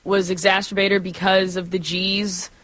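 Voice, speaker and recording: A woman's voice: mid-range voice, little pitch movement, a little slow, a bit bright, dry recording. A woman co-hosting a radio show in casual, informal chat, peppering her sentences with filler words like "like". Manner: informal, frequent use of "like".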